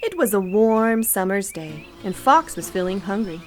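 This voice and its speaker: A woman reading a children's storybook aloud as narrator, in an expressive storytelling style. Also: medium pitch, moderate pace, a little hiss or hum. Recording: light music and birdsong behind.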